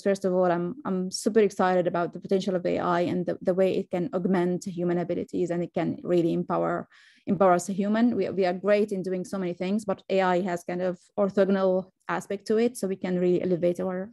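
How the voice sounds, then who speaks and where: A woman's voice: on the low side, creaky, darkish tone, dry recording. A woman from Syria introducing herself informally as a panelist, with light laughs as she talks. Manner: laughs lightly while talking. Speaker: panelist, AI startup founder.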